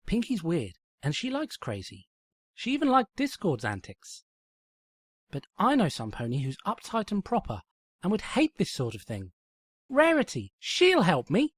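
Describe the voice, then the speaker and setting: A man voicing a highly strung young female pony princess in a fan fiction reading, going from muttering to shrieking. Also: huge pitch swings, measured pace, breathy edge, dry recording, studio quality, full character voice. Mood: muttering, scheming.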